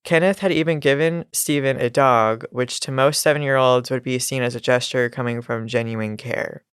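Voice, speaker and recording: Congested nasal woman, podcast co-host, smooth, dry close sound. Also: rumbling low, fairly even intonation, normal speed, no echo. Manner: laughs often, reads a story with asides. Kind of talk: casual true crime podcast storytelling.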